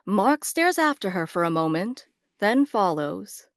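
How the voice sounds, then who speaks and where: Lively woman narrator reading stage directions. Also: medium pitch, measured pace, no echo, clean studio sound. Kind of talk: scripted narration at a table read.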